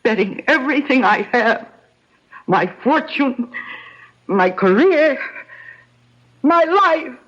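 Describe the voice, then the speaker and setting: Determined heroine, radio mystery, high-pitched, deliberate dramatic pauses, defiant, dull lo-fi recording. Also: female voice, lively intonation, a bit bright, a little hiss or hum, heavily acted. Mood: defiant, urgent. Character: defiant, determined woman designer convinced of a murder plot. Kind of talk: old-time radio mystery acting.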